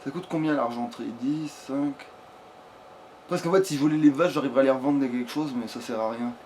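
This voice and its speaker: A man's voice: mid-range voice, fairly quick, darkish tone, slight background noise. A man giving casual, rambling let's play commentary, often swearing coarsely. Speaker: video game streamer.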